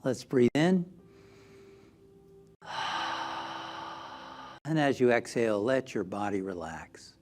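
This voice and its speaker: A man leading a guided meditation as a minister, gentle and soothing, often softening toward a whisper. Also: mid-range voice, sing-song, normal speed, hardly any pauses, background noise.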